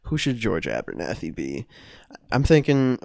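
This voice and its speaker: A young adult podcast co-host chatting casually and improvising with friends, informal and quick to swear. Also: medium pitch, very animated, vocal fry, a little mellow, no room sound, studio quality. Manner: profane, informal.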